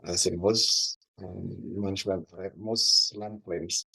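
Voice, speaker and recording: A very deep-voiced man addresses a class as a guest speaker. He talks slowly and formally, pausing often between his words. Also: steady tone, creaky, pushed and bright, slightly rough, poor audio. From Cameroon. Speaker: guest speaker, wildlife manager.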